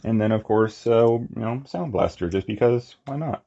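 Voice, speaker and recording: A man's voice: fairly low pitch, lively intonation, normal speed, gravelly, dark tone, highs cut off. A man giving a casual, unscripted hands-on toy review, rambling and murmuring to himself as he goes. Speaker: toy collector reviewing figures.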